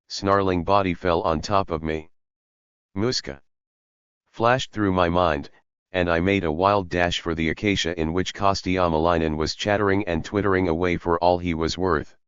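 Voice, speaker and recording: A man narrating an audiobook in a measured, even storytelling manner. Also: deep voice, smooth, no room sound, highs cut off.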